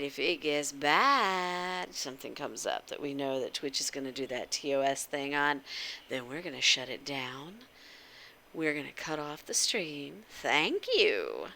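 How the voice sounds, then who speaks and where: Low-pitched, bright-voiced woman hosting a stream. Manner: informal, addresses viewers directly with frequent questions. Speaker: livestream host. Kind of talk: casual interactive game stream chat.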